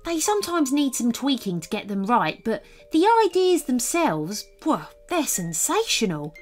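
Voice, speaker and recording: A woman's voice: a little high, big pitch swings, moderate pace, faint music behind, pitched above the performer's own voice. A woman voicing a small green male alien, nervous, eager and apologetic, in a children's story. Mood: eager, admiring.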